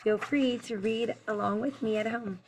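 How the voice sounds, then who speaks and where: A woman teacher reading a picture book aloud to young children in an expressive, sing-song, encouraging way. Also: fairly high pitch, moderate pace, breathy edge, poor audio. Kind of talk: children's story read-aloud, addressing young listeners.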